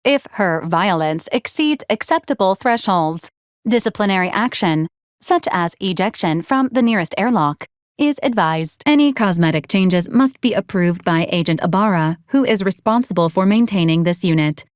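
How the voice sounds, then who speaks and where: A woman voicing a polite, literal-minded service robot in a video game, speaking in a formal, precise, deadpan manner with clinical detachment. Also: medium pitch, moderate pace, no echo, clean studio sound, close to an everyday voice. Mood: flat, clinical. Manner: formal, precise, deadpan, clipped machine phrasing. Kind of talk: scripted video game character lines.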